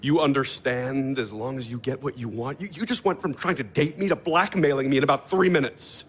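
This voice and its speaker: A man's voice: mid-range voice, fairly quick, a little hiss or hum, natural voice. A man playing a cold, contemptuous character in a naturalistic audio drama, speaking curtly and scornfully. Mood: menacing contempt. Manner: curt, scornful. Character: cold, contemptuous man brushing off a former date.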